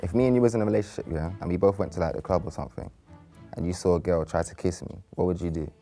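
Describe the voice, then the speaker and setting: Fast-talking deep-voiced young man, cheeky. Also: steady tone, dark tone, faint music behind. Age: 20s. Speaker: dater on a dating show. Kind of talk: casual banter.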